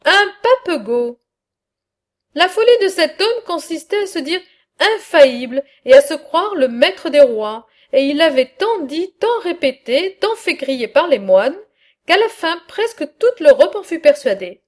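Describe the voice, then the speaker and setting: A woman reading aloud the lines of a bold, mocking male satirist in a philosophical dialogue, in a measured, earnest way. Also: high voice, very animated.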